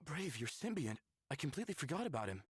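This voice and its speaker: A man's voice: fairly high pitch, sing-song, quick pace, husky, no echo, studio quality, full character voice. A man playing an easygoing, easily flustered young lover in a visual novel, casual and sheepish. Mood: casual, curious. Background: game music.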